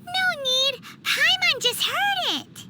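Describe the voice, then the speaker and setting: A woman voicing a tiny, childlike fairy companion in an anime-style game, food-loving, cheeky and excitable, with a squeaky voice and exclamatory delivery. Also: takes it slowly, very noisy, heavily acted. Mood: cheeky, playful. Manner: speaks of herself in the third person, exclamatory.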